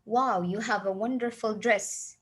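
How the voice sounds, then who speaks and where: A woman's voice: mid-range voice, unhurried, fairly bright tone. A woman with a Saudi Arabic accent, a native Arabic speaker, explaining a lesson to her students and often asking rhetorical questions. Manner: repeats phrases, asks rhetorical questions, switches to Arabic. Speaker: teacher.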